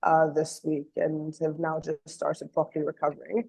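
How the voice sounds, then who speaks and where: Woman a bit hoarse from a cold, presenting over a video call, fairly low voice, lots of ums. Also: level tone, normal speed, a little mellow, poor audio. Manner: earnest, with frequent ums and uhs. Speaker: communications officer presenting. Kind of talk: online presentation.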